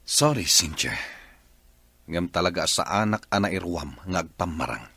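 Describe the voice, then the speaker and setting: A man's voice: deep voice, animated, normal speed, creaky, sharp tone, husky, no room sound, studio quality, exaggerated voice. A man voicing a husband who is tired of his marriage and speaks curtly. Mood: weary. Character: weary husband, cold and done with his marriage. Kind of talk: radio drama acting.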